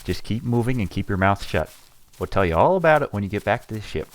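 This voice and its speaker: A man's voice: lowish voice, very animated, fast, heavy background noise. A man acting a reluctant, exasperated junior mercenary guard in a sci-fi audio drama.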